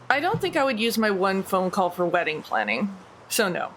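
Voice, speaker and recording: Woman, improvised role-play, casual hesitant delivery, medium pitch, faint noise behind. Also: big pitch swings, moderate pace, a bit bright. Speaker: tabletop game player. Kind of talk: improvised in-character dialogue in everyday voice.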